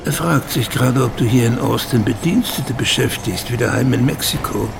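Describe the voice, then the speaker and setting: A sly inner voice, heavily creaky and middle-pitched, comments in a mocking, insinuating way. It is radio drama, with clear background noise. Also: male voice, normal speed. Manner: insinuating, sardonic. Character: sly, mocking male inner voice needling a woman.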